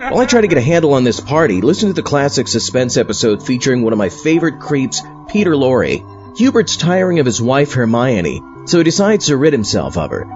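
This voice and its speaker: Exasperated horror-show host played by a man, animated comic audio drama delivery, slightly dull sound over music. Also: medium pitch, a little fast, lightly acted. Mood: showmanlike. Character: exasperated party host introducing horror radio episodes. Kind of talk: scripted comedy audio drama.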